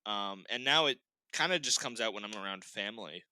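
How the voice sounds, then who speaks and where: A young man from Boston talks casually as a podcast guest. His voice is very bright and crisp, with a dry, close sound. He laughs often and restarts his sentences. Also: on the low side, animated, fairly quick, no echo, poor audio. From Boston, Massachusetts. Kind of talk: casual chat.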